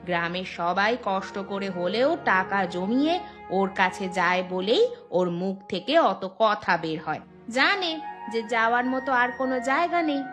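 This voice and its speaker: A woman's voice: mid-range voice, close to an everyday voice. A woman voicing a concerned, conciliatory village wife in a moral cartoon, with a coaxing, questioning manner. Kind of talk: Bengali moral cartoon voice acting.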